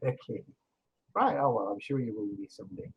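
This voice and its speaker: A man's voice: lowish voice, very animated, fairly quick, husky, dull audio. An older man with a Scottish accent, chatting as an online English tutor, explaining with examples and laughing easily. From Scotland. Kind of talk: casual conversation lesson.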